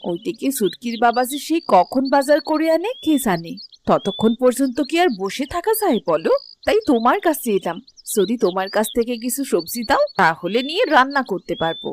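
A woman's voice: a little high, very animated, brisk, a little hiss or hum. A woman voicing a neighbourly village housewife in a cartoon. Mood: friendly, coaxing. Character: neighbourly village wife asking for vegetables. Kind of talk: cartoon dialogue. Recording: clear music under the voice.

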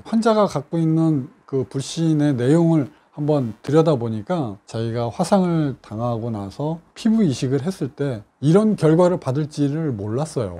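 Mellow-voiced man explaining a case. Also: a little high. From South Korea. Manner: measured, explanatory, with frequent fillers. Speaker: scar clinic doctor. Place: doctor's office with bookshelves.

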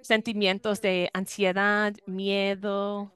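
A woman working as an interpreter, giving a steady, fluent spoken translation over a video call, with a few brief restarts. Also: medium pitch, slow pace, smooth. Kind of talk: live consecutive interpretation into Spanish. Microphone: video call microphone.

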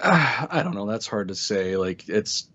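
An American man with a fairly low voice chats casually as a guest. He is blunt and slangy, with plenty of swearing. The recording is a little dull and muffled. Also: sing-song, a little slow, fairly bright tone. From Ogden, Utah, United States. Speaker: guest co-reader. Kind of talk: casual chat.

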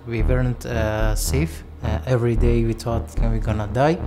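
A man in his twenties from Afghanistan telling his story in halting, non-native English with many pauses. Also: mid-range voice, little pitch movement. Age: 20s. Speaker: refugee interviewee. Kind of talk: documentary testimony. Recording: voice under loud music.

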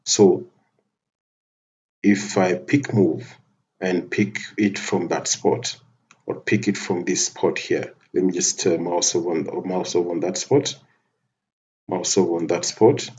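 A man giving a methodical, step-by-step software tutorial over a screen recording, often restarting his phrases. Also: sits low. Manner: methodical step-by-step narration with frequent restarts and fillers.

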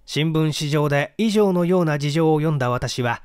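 A man narrating a detective story as an audiobook reader, in formal, measured literary prose. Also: on the high side, clean tone, no room sound, clean studio sound.

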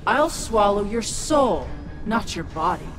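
A woman's voice: mid-range voice, sing-song, slow pace, poor audio. A woman voicing an imperious, mocking ancient soul-devouring witch in a video game. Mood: menacing. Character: haughty, menacing ancient witch who devours souls. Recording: loud music behind studio game voice.

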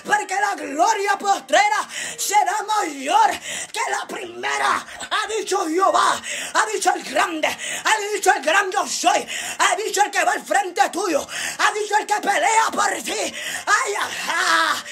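A woman preacher delivering a fervent Pentecostal-style sermon in an emphatic, repetitive, declamatory way, impassioned and often rising to shouting. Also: very high voice, takes it slowly, vocal fry.

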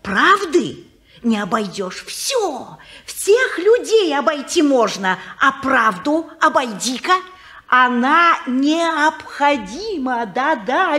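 A woman's voice: sits high, very animated, takes it slowly, sharp tone, exaggerated voice. An actress playing a preachy, pious older woman who pleads about truth and God, in a 1950s radio play.

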